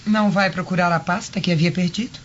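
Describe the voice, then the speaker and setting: An actress playing a courteous, watchful Roman governor's wife, formal and composed in a radio drama. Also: female voice, lowish voice, fairly even intonation, quick pace, a little hiss or hum, lightly acted. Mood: polite, watchful. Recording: dull lo-fi radio drama, music underneath.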